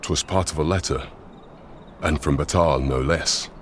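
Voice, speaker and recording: Very deep-voiced, grave courtly loyalist. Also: male voice, a little hiss or hum. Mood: pensive, approving. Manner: formal, measured, archaic courtly diction. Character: grave, courteous male royal loyalist plotting against the regent. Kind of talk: scripted fantasy video game dialogue.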